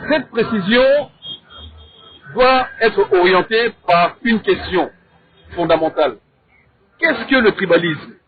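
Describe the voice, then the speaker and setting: A man with a Congolese accent debating in a measured, didactic way, in short deliberate phrases and with rhetorical questions. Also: high-pitched, lively intonation, husky, dry recording. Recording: thin, phone-like narrow sound.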